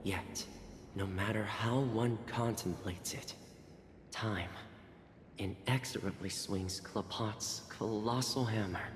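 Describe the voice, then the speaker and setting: A solemn storyteller chronicles a game world in an unhurried, portentous voice. The delivery is grave and theatrical. It rings in a roomy reverb with noise behind it. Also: male voice, fairly low pitch. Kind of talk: dramatic scripted narration.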